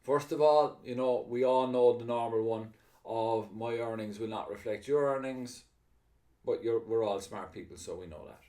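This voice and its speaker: Excitable Irish man pitching a webinar. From Ireland. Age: middle-aged. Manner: fast, excitable, upbeat salesman delivery. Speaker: webinar presenter.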